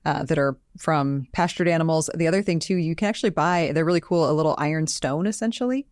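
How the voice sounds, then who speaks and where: A woman's voice: sits low, fast, darkish tone, no room sound, clean studio sound. An American woman co-hosting a health Q&A podcast, chatty and explanatory in a conversational style. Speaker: podcast co-host and nutritional therapy practitioner.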